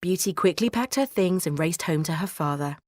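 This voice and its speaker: A woman narrates a children's bedtime story in a measured, expressive way. Her voice sits a little low, and the recording is very dry with no room sound. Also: no echo.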